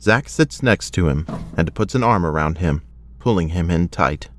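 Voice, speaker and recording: A man's voice: deep voice, animated, normal speed, fairly bright tone, a little hiss or hum. A man reading scripted narration for an action audio drama.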